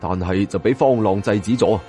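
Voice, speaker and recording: A man's voice: lowish voice, normal speed, dark tone, soft background music. A man with a Cantonese accent narrating a scripted first-person story in a dramatic style. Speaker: audiobook narrator.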